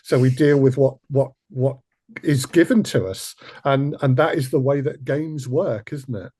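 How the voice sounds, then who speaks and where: Guy hosting a podcast, bit raspy, thinking out loud, very dry close recording. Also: mid-range voice, measured pace, dry recording. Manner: reflective, adds tag questions. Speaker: podcast host. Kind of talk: conversational discussion.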